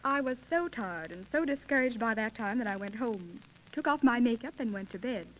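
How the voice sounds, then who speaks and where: A woman's voice: fairly high pitch, lively intonation, normal speed. An eighteen-year-old American woman answering questions as a guest in a scripted 1930s radio interview. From United States. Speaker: interview guest, contest winner. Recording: dull, hissy 1930s radio recording.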